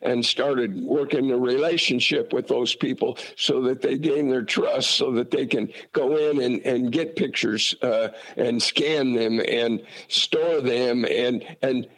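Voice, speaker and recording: A man's voice: mid-range voice, unhurried, hardly any pauses, poor audio. A man from Utah talking casually about family history, folksy and rambling with many fillers and restarts. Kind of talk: casual conversation.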